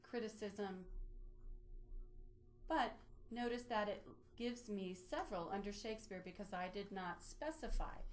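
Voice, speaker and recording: Woman, mid-pitched voice, tutorial presenter, methodical, instructional walkthrough delivery. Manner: methodical, step-by-step explaining. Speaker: presenter of a library database tutorial. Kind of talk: instructional screen walkthrough, lightly improvised.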